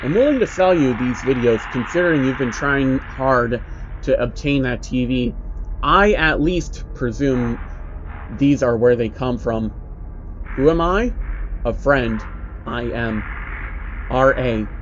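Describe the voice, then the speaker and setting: A man narrating a scripted horror story in a flat, measured reading voice. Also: medium pitch, highs cut off, noisy background. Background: faint uneasy piano music.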